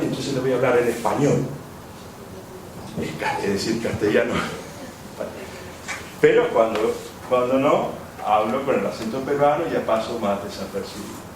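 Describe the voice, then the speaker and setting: A man giving an academic lecture, rambling and rephrasing with frequent hesitations. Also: mid-range voice. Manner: discursive, rephrases often, many "eh" fillers. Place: lecture hall. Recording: echoey hall, distant mic, background noise.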